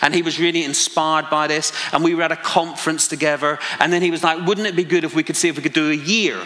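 A man's voice: on the high side, steady tone, fast talker, pushed and bright. A man in his forties with a Northern Irish accent, preaching a conversational sermon that builds to passionate emphasis, with self-deprecating asides. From Northern Ireland. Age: 40s. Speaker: pastor. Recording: long hall reverb.

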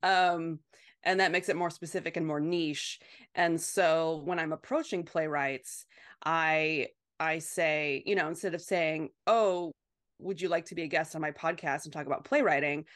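A woman answering as a podcast guest in casual, reflective chat, halting and then quickening. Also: low voice, fairly bright tone.

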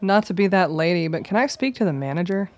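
A woman's voice: fairly low pitch, expressive, fast talker, a little mellow, natural voice. An actress playing a wry, self-deprecating young woman in hiding in an audio drama. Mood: polite, guarded.

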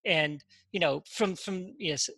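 Halting man, bright forceful voice. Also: high voice, vocal fry, no echo. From England. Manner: halting, heavy use of "you know" and repeated words. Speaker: podcast guest. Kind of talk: casual interview talk.

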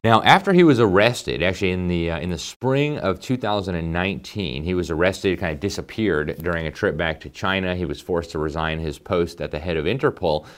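Man, very low pitch, show host, emphatic commentary, conversational fillers. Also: fairly quick, hardly any pauses. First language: English. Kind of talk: political commentary monologue.

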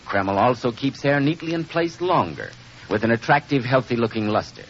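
An American man announcing and reading sponsor pitches in 1940s radio style. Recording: noisy, dull 1940s radio broadcast.

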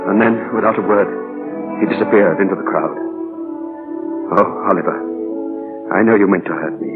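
A man's voice: sits low, normal speed, natural voice. An actor playing a dignified, earnest middle-aged father hurt by his grown son, in 1940s radio style. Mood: sorrowful. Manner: earnest, formal, emotional. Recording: muffled 1940 radio broadcast, music.

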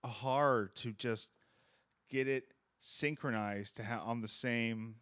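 A man's voice: mid-range voice, slow, smooth, no room sound, studio quality. A man hosting a podcast, chatting casually and joking with plenty of swearing. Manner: animated, profane, joking. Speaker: podcast host.

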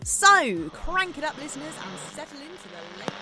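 A woman's voice: sits high, very animated, moderate pace, over loud music. A woman playing a chatty, needy late-night radio DJ in a scripted drama, playful and theatrical, coaxing her listeners. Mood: eager, coaxing. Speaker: radio host.

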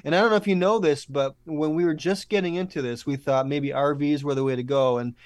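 A man's voice: fairly high pitch, fairly even intonation, a little fast, dry recording, studio quality. A man speaking as a podcast guest in casual, earnest, appreciative conversation. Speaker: podcast guest, audio drama producer. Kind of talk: casual interview chat.

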